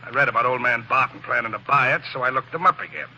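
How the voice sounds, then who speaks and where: A man's voice: mid-range voice, quick pace, pushed and bright, muffled recording, slight background noise. A slangy con-man fake count. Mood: sly. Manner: tough gangster slang, nervous then pleading. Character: shifty male con man posing as a count. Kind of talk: 1940s radio acting.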